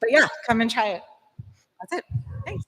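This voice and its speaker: A woman's voice: a little high, sing-song, normal speed, bright, forceful tone, husky. Friendly woman from Iran talking about food. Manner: friendly, informal, many fillers. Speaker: guest speaker, recipe collector. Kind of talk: short casual talk to a group.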